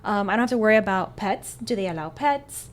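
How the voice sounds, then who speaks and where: A woman's voice: medium pitch, expressive, a little fast. A woman in her thirties, co-hosting a real estate chat show, talking casually and often checking in with "right?". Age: 30s. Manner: agreeable, often adds "right?". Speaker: real estate podcast co-host.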